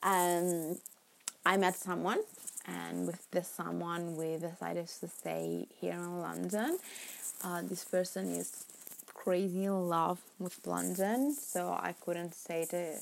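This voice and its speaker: Gravelly Italian-accented young woman. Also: on the low side, flat delivery, slow pace, slight background noise, poor audio. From Italy. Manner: chatty, rambling, laughs often, drops into whispers. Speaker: podcast host. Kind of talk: casual solo podcast chat.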